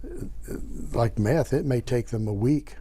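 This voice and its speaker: An older man, a county sheriff, giving unscripted interview answers in a halting way, repeating and rephrasing. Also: medium pitch, warm tone.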